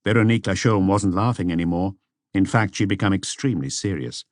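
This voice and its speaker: A man's voice: deep voice, a little fast, no room sound, studio quality. A man reading a mystery novel aloud as a scripted audiobook narration.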